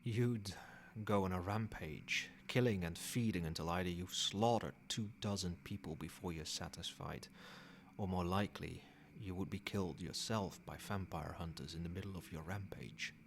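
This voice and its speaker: A man playing a possessive, controlling old vampire in a soft-spoken, measured ASMR roleplay. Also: deep voice, creaky, slight background noise. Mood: grave, explaining. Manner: measured, formal, commanding.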